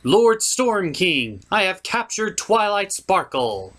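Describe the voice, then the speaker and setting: A man's voice: high voice, sing-song, takes it slowly, a bit bright, full character voice. A man voicing a proud, menacing villainess puppet with theatrical, emphatic declarations in a comedy parody. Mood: demanding.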